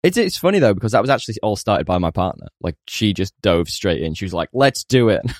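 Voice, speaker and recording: A man's voice: on the low side, very animated, quick pace, dry recording, studio quality. A man co-hosting a podcast in casual chat, hungover and tired but jokey and teasing. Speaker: podcast co-host.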